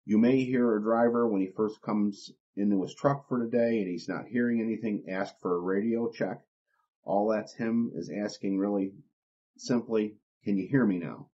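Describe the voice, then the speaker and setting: A man hosting an instructional podcast, explaining things in a plain, deliberate, step-by-step way. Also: fairly low pitch, fairly even intonation, dark tone. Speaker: podcast host. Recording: dull, lo-fi podcast recording.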